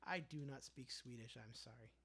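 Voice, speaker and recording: A man's voice: medium pitch, moderate pace, pushed and bright, a little hiss or hum. A young man hosting a casual livestream, reading viewer comments aloud and joking about them. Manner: chatty, reads viewer comments aloud and riffs on them playfully. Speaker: livestream host reading chat.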